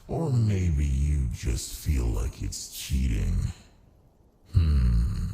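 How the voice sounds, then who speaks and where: A man playing a haughty, seductive ancient archdemon in an ASMR roleplay, with a gravelly, languid, condescending delivery. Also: a bass voice, dark tone, a little hiss or hum, exaggerated voice. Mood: taunting, persuasive.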